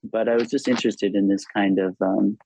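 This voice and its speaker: A man's voice: on the low side, steady tone, gravelly, warm tone, slightly rough. A man whose first language is Hebrew, an author talking reflectively in an interview with many restarts. The recording sounds dull and lo-fi. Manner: halting, thoughtful, frequent restarts.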